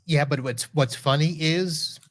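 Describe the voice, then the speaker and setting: A man hosting a podcast, chatting casually and teasing his guest in a relaxed way. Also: fairly high pitch, unhurried. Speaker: podcast host. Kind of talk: casual chat.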